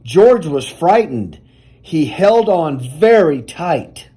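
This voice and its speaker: A man reading a children's picture book aloud in an animated, deliberate storytelling manner. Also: on the high side, slightly rough.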